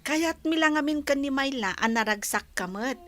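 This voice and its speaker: A woman's voice: high voice, expressive, moderate pace, a bit bright. An actress playing a playful young woman who teases her friend in a radio drama. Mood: teasing. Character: young woman Alexa, teasing upbeat friend.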